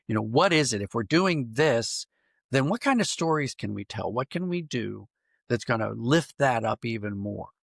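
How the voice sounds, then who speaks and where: Guy with a creaky gravelly voice, dry close sound, thinking out loud in an interview, rewords stuff a lot. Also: on the low side, no room sound. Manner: thoughtful, halting, circling back to rephrase. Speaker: podcast guest, writer and dramatist. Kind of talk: conversational interview answers.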